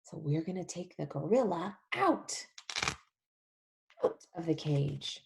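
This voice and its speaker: A woman leading an interactive storybook read-along for young children, animated and emphatic, using simple repeated phrases and questions. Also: on the low side, slow, gravelly, background noise. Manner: animated, emphatic, often drops to a whisper.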